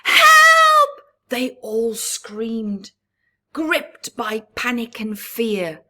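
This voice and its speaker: A woman reading a rhyming picture book aloud at story time, stopping to chat with young listeners and dropping into whispers. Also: fairly high pitch. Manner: slow rhyming read-aloud with chatty asides to young listeners; drops to breathy whispers. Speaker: storybook reader.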